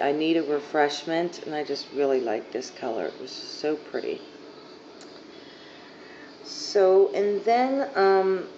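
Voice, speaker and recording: A young woman with a hoarse, fading voice rambling casually through a makeup haul, full of fillers, filmed on a phone on her living room couch. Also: deep voice, darkish tone. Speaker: beauty vlogger. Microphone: phone camera at arm's length. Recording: dull lo-fi phone audio, faint hiss.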